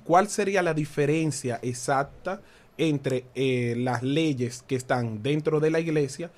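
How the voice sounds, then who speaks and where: Brief: male host, slightly high voice, playful and joking. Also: a little slow. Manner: joking, laughs often. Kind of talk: casual talk show chat.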